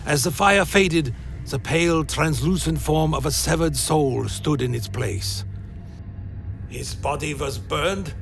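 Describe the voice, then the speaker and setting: Weary vampire telling his tale, bit high for a man, creaky, solemn, dark fantasy audio drama. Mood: startled, questioning. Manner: solemn, literary, deliberate phrasing. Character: weary undead male vampire wanderer narrating his journey. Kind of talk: dramatic first-person scripted narration, dark fantasy radio play. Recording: music behind the voice.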